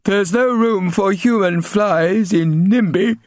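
A man's voice: high-pitched, takes it slowly, darkish tone, no echo, clean studio sound, pitched above the performer's own voice. A man voicing a large, cruel bully boy who sneers, in a storybook reading. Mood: cold.

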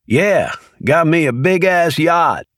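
A man's voice: medium pitch, expressive, measured pace, no echo, clean studio sound, pitched above the performer's own voice, lightly acted. A man reading an audiobook, voicing a wisecracking man in his fifties with a folksy drawl. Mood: sarcastic. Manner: folksy drawling dialect, sly and joking. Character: older country man, wisecracking, easygoing, stubborn. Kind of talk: audiobook character voice.

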